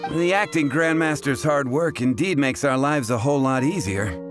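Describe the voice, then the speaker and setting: An actor playing an adult bartender in a video game, with a dry, matter-of-fact, slightly sarcastic way of talking. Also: male voice, fairly high pitch, normal speed, music in the background, lightly acted. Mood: sheepish. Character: adult male bartender, wry and businesslike.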